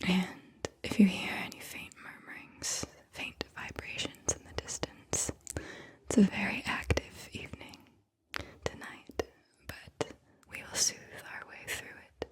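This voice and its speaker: A woman doing soft-spoken, breathy ASMR, whispering in a soothing, intimate way as she talks and reads poetry, lingering on words. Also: on the low side. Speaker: ASMR host and poetry reader.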